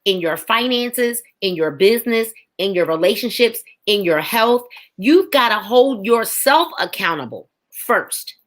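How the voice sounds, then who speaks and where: A woman's voice: mid-range voice, expressive, measured pace. A woman in her forties giving a faith testimony as a podcast guest, emphatic, with a preaching rhythm and repeated phrases. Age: 40s.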